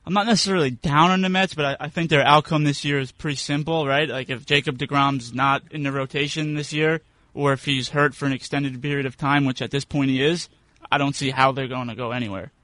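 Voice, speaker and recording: A young man, a radio producer, giving easygoing, measured sports opinions. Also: medium pitch, steady tone, bright, forceful tone. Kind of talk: casual sports chat.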